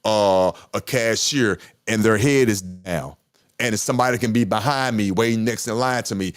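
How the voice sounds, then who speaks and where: A middle-aged man with a deep voice hosting a podcast, talking casually with passion and emphatic repetition. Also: moderate pace. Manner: passionate, emphatic, repeats himself. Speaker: podcast host. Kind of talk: casual monologue.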